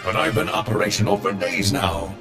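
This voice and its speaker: An actor playing a pompous, zealous patriotic war droid in a video game, declaiming grandly. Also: male voice, fairly low pitch, brisk, music in the background, natural voice. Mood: indignant zeal. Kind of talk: video game cutscene dialogue.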